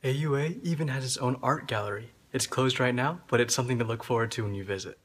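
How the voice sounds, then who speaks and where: A man's voice: medium pitch, fast, breathy edge. A young man guiding a campus tour as a vlogger, in upbeat scripted narration, recorded on a phone held at arm's length. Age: young adult. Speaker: student vlogger, tour guide. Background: background music.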